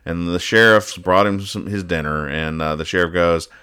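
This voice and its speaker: A man hosting a casual podcast, talking through comic strips in a halting, mock-analytical way and reading out the dialogue. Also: low-pitched, sharp tone, smooth. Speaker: podcast host. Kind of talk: casual chat, describing comic strips and explaining the jokes.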